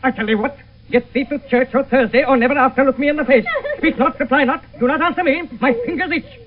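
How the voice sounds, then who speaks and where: A man's voice: very high-pitched, fairly even intonation, fast. An actor playing a domineering, hot-tempered noble father with blustering, scornful delivery in classical Shakespearean radio drama. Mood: furious. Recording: noisy, tinny old radio broadcast.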